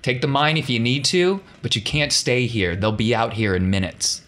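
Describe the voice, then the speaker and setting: A man's voice: mid-range voice, expressive, moderate pace, fairly bright tone, close to an everyday voice. A man playing a grave, blunt uncle giving urgent warnings in a tabletop game. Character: grave, urgent uncle who once sheltered fugitives.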